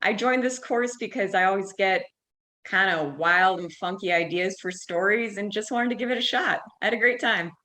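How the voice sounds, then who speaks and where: A woman's voice: mid-range voice, normal speed, sharp tone. A young woman casually introducing herself as a podcast guest.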